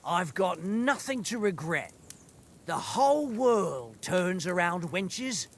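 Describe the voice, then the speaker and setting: An actor playing a carefree, boastful young soldier in a medieval game, with a cocky, jocular way of talking. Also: male voice, high voice, big pitch swings, slow, exaggerated voice. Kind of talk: video game cutscene acting.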